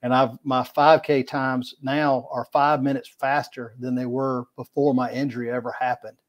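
A man's voice: medium pitch, level tone, moderate pace. A middle-aged man, talking in a rambling, folksy way as a guest on a video call, often repeating words. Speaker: podcast guest, kayak angler. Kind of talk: casual video-call interview. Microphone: video call webcam mic.

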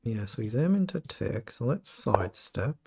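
Middle-aged guy, croaky gravelly voice, muttering his chess moves out loud, noisy room with mouse clicks. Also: medium pitch, sing-song, normal speed. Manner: thinking aloud, muttering over moves. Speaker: chess streamer. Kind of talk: live game commentary.

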